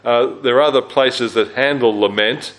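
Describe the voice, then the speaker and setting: A man with a low-register voice teaching a Bible study at a church camp, speaking conversationally with fillers and self-corrections. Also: moderate pace, a bit bright, poor audio.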